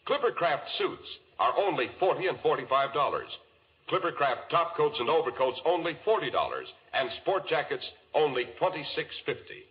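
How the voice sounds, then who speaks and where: A man's voice: on the high side, big pitch swings, normal speed. A man with an American accent announcing and hosting in scripted 1940s radio style. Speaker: announcer and host. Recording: muffled, hissy 1940s radio recording.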